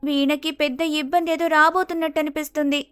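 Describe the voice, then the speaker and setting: A woman voicing a distressed, self-blaming young wife in an animated moral story, speaking in an earnest, plaintive way. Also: high voice, brisk, faint music behind. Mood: worried, insistent.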